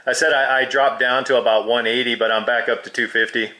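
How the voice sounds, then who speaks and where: Guy doing casual ham style radio chat, bright slightly lower voice, uses radio jargon. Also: steady tone, quick pace, rough recording. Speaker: amateur CB radio operator.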